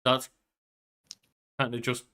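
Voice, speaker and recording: A man's voice: slow, bright, forceful tone. A man in his thirties co-hosting a podcast with casual, joking commentary and some swearing. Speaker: podcast co-host.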